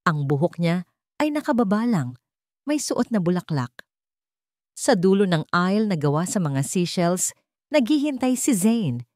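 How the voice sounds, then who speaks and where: A woman's voice: lowish voice, big pitch swings, unhurried, pauses often, darkish tone, no room sound, clean studio sound. A woman narrating a dramatic romance story in a sentimental, emphatic storytelling manner.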